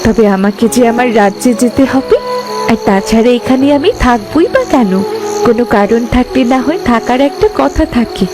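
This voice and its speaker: A woman playing a gentle, dignified young fairy in a cartoon fairytale, speaking earnestly and formally. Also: on the high side, normal speed, music in the background, close to an everyday voice. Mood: tender.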